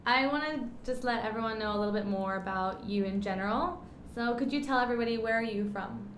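A woman's voice: on the high side, quick pace, a bit bright, slight background noise. A woman from Taiwan hosting a podcast in Mandarin and English, upbeat and asking short questions. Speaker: podcast host. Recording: clear music bed under voice.

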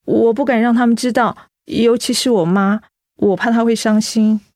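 A woman's voice: fairly high pitch, fairly even intonation, normal speed, no echo, clean studio sound, lightly acted. An actress playing a young, ailing office woman who hides her troubles, speaking hesitant, apologetic Taiwanese Mandarin in a radio drama. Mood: apologetic, subdued. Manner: hesitant, apologetic, softly evasive.

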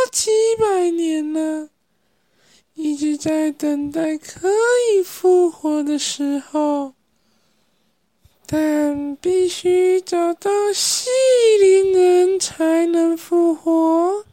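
An eerie young ghost princess pleads tearfully in a radio play. Her voice is extremely high and mellow, dragging out every word. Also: dry recording, full character voice. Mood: eerie, mournful. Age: teenager. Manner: drawn-out, eerie, tearful delivery.

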